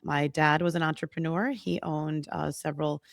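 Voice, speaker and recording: Female podcast guest from New York, mid pitch, gravelly voice, chatty, dry sound. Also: steady tone, moderate pace, dry recording. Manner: warm, chatty, laughs easily. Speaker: guest, massage and reiki therapist. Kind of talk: casual interview.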